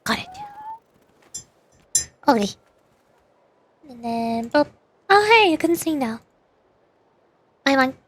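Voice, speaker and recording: A woman's voice: high voice, big pitch swings, takes it slowly, long pauses. A woman VTuber chatting casually on a game livestream. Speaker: VTuber streamer.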